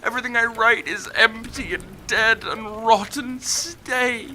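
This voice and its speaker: A man's voice: extremely high pitch, unhurried, sharp tone, slight background noise, full character voice. A young man playing an anguished, lovesick young writer in a naturalistic audio drama, pleading and emotional as he repeats himself. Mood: desperate pleading. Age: young adult.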